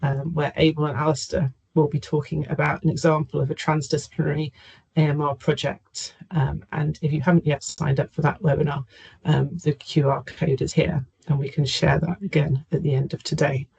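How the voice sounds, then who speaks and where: A woman's voice: sits low, level tone, a little fast, gravelly, darkish tone, husky, poor audio. A woman hosting a webinar over a video call, introducing the next speaker in a friendly way with many ums. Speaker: webinar host.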